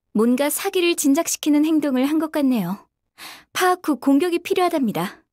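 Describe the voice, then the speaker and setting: Casting: young female tactical announcer in a game, very high voice, polite, composed military formality, dry studio sound. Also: expressive, moderate pace, a little mellow, no echo. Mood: analytical. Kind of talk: scripted game voice acting, tactical radio briefing.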